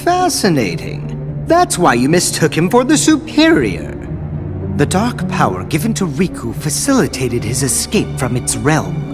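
A man playing a cold, scheming scholar in a dark robe, who speaks in a measured, riddling and superior way in a game cutscene. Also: on the high side, huge pitch swings, over music. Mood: condescending. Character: scheming robed male scholar, cold and condescending. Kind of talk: video game cutscene dialogue.

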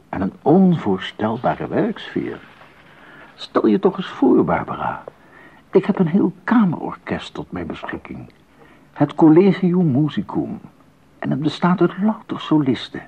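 A man's voice: medium pitch, gravelly, husky, highs cut off, full character voice. An actor playing a devoted, enthusiastic baroque composer and family man in a radio drama, speaking earnestly and courteously. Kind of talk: 1980s radio drama acting.

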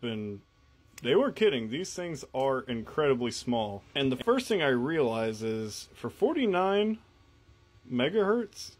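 A man talking casually through a hands-on product review in a wry, matter-of-fact way. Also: medium pitch.

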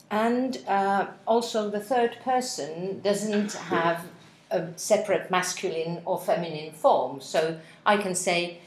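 A woman's voice: on the low side, echoey room, low-quality recording. A woman in her forties, a native Finnish speaker, giving a practice speech to interpreters with many hesitations and spelled-out words, recorded on a laptop webcam in an office room. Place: office meeting room with window blinds.

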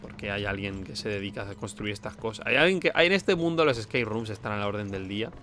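A man's voice: on the low side, lively intonation, fast, pushed and bright, slight background noise. A man with an accent from Spain giving casual, sarcastic gameplay commentary as a streamer. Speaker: video game streamer.

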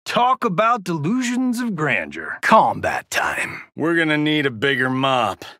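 Cocky, animated Hollywood action star. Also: male voice, a little high, unhurried, no room sound, studio quality, exaggerated voice. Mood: flirty, boastful. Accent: American. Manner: swaggering, sarcastic, showy. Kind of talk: video game character one-liners.

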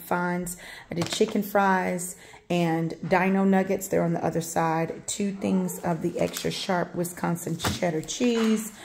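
A woman in her thirties talks slowly through a grocery haul, filling gaps with "um" as she names each item. Her voice sits low with a gravelly fry. A faint hiss runs under the home phone recording. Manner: relaxed, rambling, many "um"s while listing items. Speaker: vlogger showing a grocery haul. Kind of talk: casual show-and-tell narration. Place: home kitchen, indoors.

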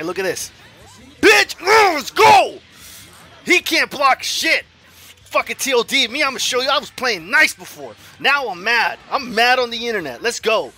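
A man in his forties commenting on his own online fighting game matches, swinging from low mutters to loud yells, laughing and cursing at himself and his opponent. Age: 40s. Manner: profane, self-mocking trash talk. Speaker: gaming YouTuber. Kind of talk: live gameplay commentary.

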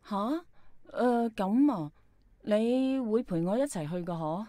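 An actress playing a weary, lonely young doctor with a sighing, brittle manner, in a Hong Kong Cantonese radio drama. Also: female voice, medium pitch, animated, takes it slowly, warm tone, no echo, studio quality. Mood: hesitant. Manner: sighing, impatient, emotional.

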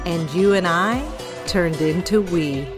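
A middle-aged woman hosting a read-aloud for young children, speaking in an animated, carefully enunciated teaching style. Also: fairly low pitch, over music. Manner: animated, slow, careful enunciation, sounding out and spelling words. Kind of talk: storybook reading and interactive teaching for young children.

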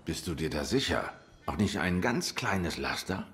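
A cool feline detective from a noir video game. His voice sits low, and he talks in terse, dry, probing lines. Soft music runs underneath. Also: very animated, a little slow, full character voice.

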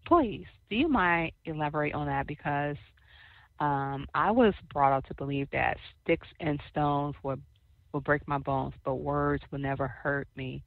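A woman co-host with a soft, low voice leads a faith-themed interview. She affirms the guest often and speaks in church terms. The line is dry and phone-thin. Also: unhurried, gravelly, no echo, phone-quality sound. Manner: warm, affirming, church talk.

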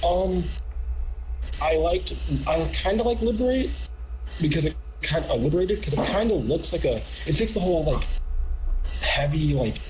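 A young man in casual live-reaction chat, talking in a slangy way as a streamer. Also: on the high side. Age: young adult. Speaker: streamer reacting to a game showcase. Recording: noisy home stream audio.

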